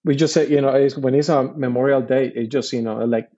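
A man's voice: medium pitch, fairly even intonation, fairly quick, warm tone, muffled recording. A man in his forties from Puerto Rico, a podcast guest and Army veteran who explains things earnestly and patiently in casual talk. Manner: earnest, explains patiently with many "you know" fillers.

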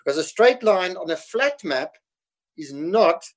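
A man's voice: sits high, animated, unhurried. A South African man in his fifties, a guest in casual conversation, explaining things patiently and laughing easily. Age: 50s. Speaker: podcast guest.

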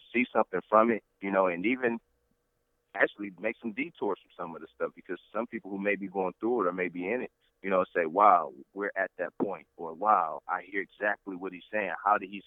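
A man with a very deep, low voice comes through a thin, tinny phone line. He talks in a rambling, preacherly way, full of fillers and restarts, and the sound is close and dry with no room around it. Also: fairly quick, no room sound. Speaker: interview guest. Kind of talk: casual interview talk.

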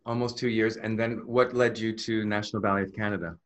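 A man interviewing a guest, asking questions in a gentle, hesitant way. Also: lowish voice, flat delivery, quick pace. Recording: dull lo-fi audio.

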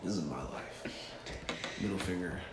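A man's voice: low-pitched, moderate pace, echoey room, over a noisy crowd. A man in his twenties chatting casually as a co-host, joking and laughing often. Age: 20s. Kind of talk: casual chat.

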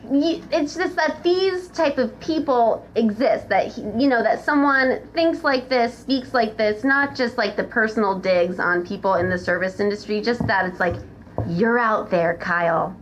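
A woman's voice: a little high, a little slow. An American woman chatting casually as a podcast guest, blunt and sweary in the way she talks. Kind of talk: casual chat. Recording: dull, slightly noisy audio.

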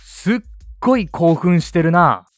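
A man's voice: fairly high pitch, sing-song, unhurried. A man playing an easygoing, slightly forgetful father in an amateur family radio drama, speaking casually and expressively. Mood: puzzled. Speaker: actor in a family audio drama. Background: background music.

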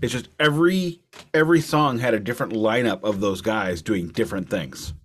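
A man's voice: on the low side, a little fast, fairly bright tone. A man co-hosting a music podcast, talking in a casual, explanatory, storytelling way. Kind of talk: casual album discussion.

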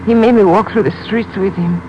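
An actress playing an injured, frightened young woman with a Mexican accent in a 1950s radio drama, speaking in a halting, pained, weary way. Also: medium pitch, fairly even intonation, close to an everyday voice. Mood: shaken, halting. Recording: muffled old radio recording, loud engine noise.

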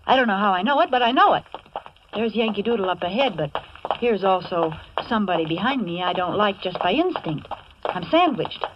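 A woman's voice: medium pitch, lively intonation, a little fast, highs cut off, natural voice. An actress playing a wisecracking, nervous young American working woman who narrates her own danger in slangy 1940s radio suspense style. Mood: tense, wary. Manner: slangy, hard-boiled, wry. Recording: noisy, band-limited old radio recording.

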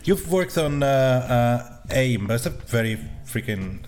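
A man hosting an interview, enthusiastic but halting, with many uh fillers. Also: medium pitch, expressive, darkish tone, faint music behind. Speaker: interview host. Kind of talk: casual interview chat.

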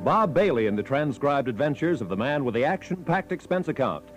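A man's voice: mid-range voice, very animated, brisk, close to an everyday voice, low-quality recording. An American man announcing a 1950s radio program in an emphatic, theatrical style. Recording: vintage radio broadcast with music.

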